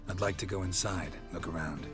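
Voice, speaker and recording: An actor playing a laconic, dry-witted monster hunter in a video game, gravelly and terse. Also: male voice, low voice, a little fast, over music, natural voice. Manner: terse, deadpan. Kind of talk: video game character acting.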